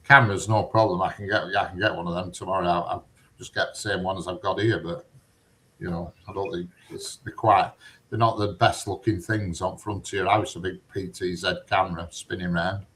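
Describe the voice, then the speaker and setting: A man's voice: low-pitched, steady tone, brisk, fairly bright tone, rough recording. A middle-aged man, rambling and cheeky in casual chat. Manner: rambling, chatty, dialect-heavy, cheeky. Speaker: conversation partner.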